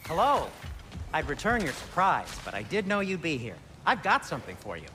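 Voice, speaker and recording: An actor playing a friendly, fussy dwarf smith in a video game, talking in a wordy, casual way. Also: male voice, on the high side, huge pitch swings, a little fast, background noise. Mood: friendly, chatty.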